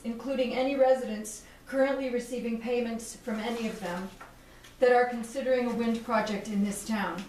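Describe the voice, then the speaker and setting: A woman's voice: fairly high pitch, a little mellow. Firm woman reading a statement. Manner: firm and deliberate, listing demands point by point. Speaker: resident reading a statement at public comment. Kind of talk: formal prepared statement read aloud. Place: town board meeting room. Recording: echoey room from a distant mic, faint hiss.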